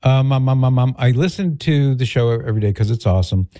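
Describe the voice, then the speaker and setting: Mellow-voiced chatty radio host man. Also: mid-range voice, fast talker, dry recording, clean studio sound. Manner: chatty, jokey, laughs easily. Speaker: radio talk show host. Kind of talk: casual chat, reading listener emails. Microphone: studio mic.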